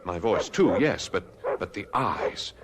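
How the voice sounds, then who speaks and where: An actor playing a steady, commanding man who cautions his friend, in measured, soothing old-time radio drama style. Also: low voice. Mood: tense, commanding. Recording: noisy vintage radio drama recording.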